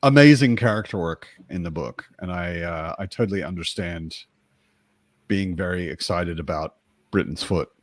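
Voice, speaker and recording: A man's voice: low-pitched, normal speed. A man casually discussing books in a reflective, somewhat hesitant way. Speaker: book discussion participant.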